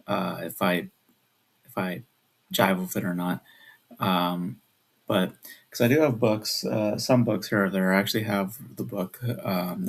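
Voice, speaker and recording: A man in his twenties chatting casually in a vlog, keeping his voice down and rambling hesitantly. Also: low-pitched. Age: 20s. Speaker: video creator. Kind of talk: casual video chat. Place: at home at night.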